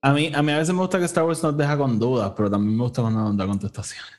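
A man's voice: medium pitch, steady tone, fast, a little mellow. A man with a Puerto Rican accent hosting a casual, informal review chat, mixing English phrases into his Spanish. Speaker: podcast host.

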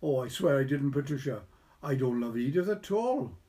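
A man's voice: medium pitch, expressive, moderate pace, warm tone, close to an everyday voice. A man voicing a defensive, pleading young man caught two-timing in a storybook reading. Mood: pleading, exasperated.

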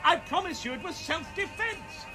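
A man's voice: very high-pitched, expressive, moderate pace, over loud music. A man playing a blustering, old-fashioned police inspector with an English accent, flustered and emphatic, in a comic radio drama. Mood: flustered, pleading.

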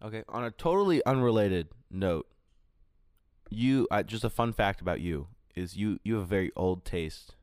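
A man's voice: lowish voice, animated, moderate pace, a little mellow, dry recording, clean studio sound. A young man hosting a podcast, teasing his guest playfully and laughing a lot. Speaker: podcast host. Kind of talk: casual podcast chat.

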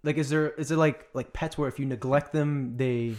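A man's voice: medium pitch, fairly even intonation, normal speed, a crowd in the background. A young man hosting a casual podcast, asking eager, curious questions and swearing casually. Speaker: podcast host.

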